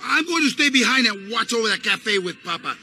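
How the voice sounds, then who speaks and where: An actor playing a boastful, hot-headed macho Cuban gangster with a Cuban accent, excitable, in a video game. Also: male voice, high-pitched, a little fast, a little hiss or hum, heavily acted. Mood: bossy, excited. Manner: excitable, swaggering. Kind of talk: video game cutscene acting.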